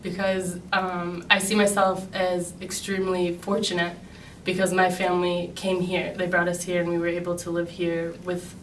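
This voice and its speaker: A young woman from Chicago answers interview questions in an earnest, steady way, leaning on frequent "um" fillers. Her voice sits a little low and barely moves in pitch. She is off-mic in a reverberant room with a faint hiss behind her. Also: normal speed, hardly any pauses, recorded from a distance. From Chicago, United States. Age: 20s. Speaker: interviewee, graduate student. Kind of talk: personal interview account. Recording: echoey room, speaker away from mic, faint noise.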